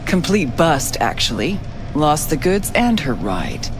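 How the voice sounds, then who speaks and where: A woman's voice: low-pitched, very animated, unhurried. Casting: hard-nosed veteran female fixer, cool and commanding, swinging intonation, music behind, cyberpunk game. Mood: shrewd, commanding. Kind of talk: video game cutscene acting.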